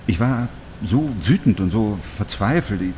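An actor playing an earnest, troubled East German professor in a radio drama, hesitant at times and emphatic at others. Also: male voice, lowish voice, expressive, unhurried, a little mellow, a little hiss or hum. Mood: grateful, shaken.

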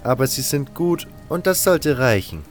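An actor playing a cold, condescending baron who gives orders in a fantasy audio drama, with music underneath. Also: male voice, medium pitch, expressive, normal speed, close to an everyday voice. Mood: cool, calculating. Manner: condescending, scornful. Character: cold, haughty male baron giving orders.

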